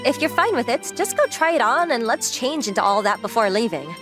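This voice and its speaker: A woman's voice: fairly high pitch, expressive, a little fast, over music, natural voice. A woman playing a confident, teasing young girlfriend in a romcom manga dub, speaking bluntly and making playful demands. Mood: bossy, brisk.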